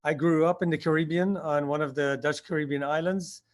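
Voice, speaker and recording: A man in his sixties, born in Indonesia, answering interview questions about his past and recalling it haltingly with many fillers. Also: a little high, steady tone. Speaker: guest, skydiving instructor.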